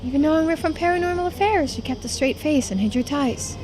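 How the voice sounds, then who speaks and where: A woman's voice: high voice, fast, music in the background, close to an everyday voice, rough recording. A woman voicing a shrewd, commanding female government investigator in a video game, authoritative and calculating. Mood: probing.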